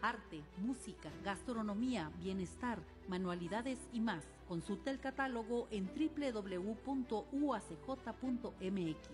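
A woman's voice: mid-range voice, lively intonation, measured pace, hardly any pauses, music in the background. A woman reading items in a radio ad with an upbeat delivery. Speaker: promo voice. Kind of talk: ad read.

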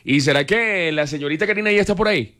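A man's voice: a little high, fast talker, sharp tone. Playful Colombian man radio host. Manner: joking, playful. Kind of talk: casual radio chat.

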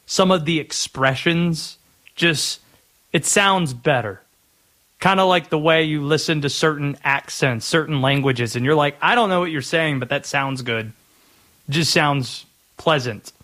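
An American man hosts a sports talk show. His voice is bright and sits slightly high. He talks conversationally, musing aloud as he goes. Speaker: sports radio host. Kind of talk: sports talk radio monologue and caller chat.

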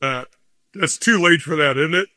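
A man's voice: on the high side, moderate pace, sharp tone, no echo, clean studio sound. A man in his sixties hosting a casual interview, asking friendly questions that ramble and restart. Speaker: host.

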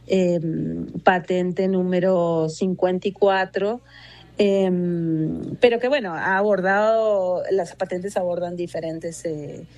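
A middle-aged woman with an Argentine accent giving a conversational interview as a research guest, explaining haltingly and often checking with little tag questions. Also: on the low side, fairly even intonation, a little mellow, no echo. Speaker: interview guest, university research executive and physicist.

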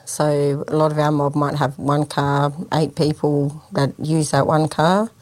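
Low-voiced Australian woman, plain-spoken. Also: flat delivery, measured pace, a little mellow, no echo. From Sydney, Australia. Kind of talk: radio interview answers.

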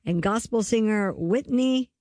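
A woman's voice: mid-range voice, unhurried, darkish tone, dry recording. Woman, co-host, reads entertainment news, chatty, dry close sound. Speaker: show co-host. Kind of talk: casual banter and reading entertainment news.

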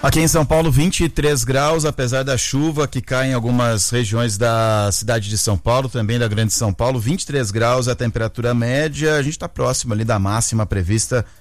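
A man's voice: mid-range voice, a little fast, hardly any pauses, dry recording, faint music behind. A man with a Brazilian Portuguese accent anchoring live radio news in a casual, slightly hesitant manner.

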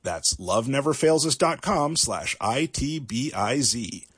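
Lively, husky man reading a promo. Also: mid-range voice, unhurried, no room sound, studio quality. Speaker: advert announcer. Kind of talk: scripted radio promo read.

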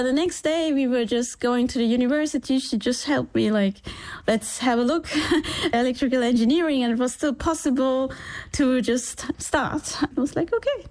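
Woman in her 40s, sri lankan-born, chatty interview guest who keeps laughing, fairly high voice. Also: normal speed. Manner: laughs often, animated, quotes people in little voices. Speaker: interview guest, engineer and founder. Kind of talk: conversational storytelling.

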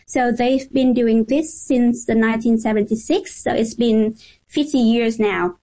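A female journalist with a Vietnamese accent and a fairly high voice. She gives an informal, descriptive account as a guest in a radio interview. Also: measured pace, warm tone, poor audio.

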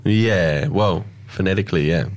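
An Australian man hosting a radio show, chatting in a relaxed, storytelling way with plenty of fillers. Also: deep voice, normal speed, a little hiss or hum. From Alice Springs, Australia. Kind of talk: casual radio chat.

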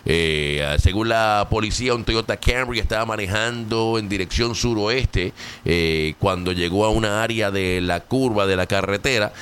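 A man's voice: fairly low pitch, normal speed, fairly bright tone, smooth. A Puerto Rican man hosting a talk show, reading local news in a chatty way, with many fillers and English words mixed in. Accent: Puerto Rican Spanish. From Puerto Rico.

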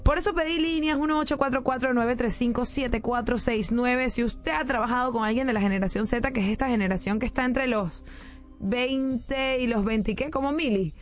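A young woman in her late twenties hosting a talk show, chatty and animated, inviting listeners to call in. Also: fairly high pitch, normal speed. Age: late 20s. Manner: chatty, animated, addresses callers. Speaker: radio host. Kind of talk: casual talk show chat.

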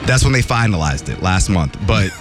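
A man hosting a morning radio show, telling entertainment news in a jokey, animated way. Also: moderate pace, over music. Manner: animated, jokey, voice jumps high for emphasis.

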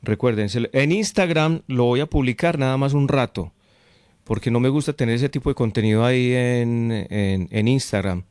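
A man's voice: medium pitch, moderate pace, a little mellow, clean tone, no echo, clean studio sound. A man with a Colombian accent hosting a radio show in an informal, chatty way, often repeating his phrases. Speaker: radio host. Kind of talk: casual late-night radio chat with listeners.

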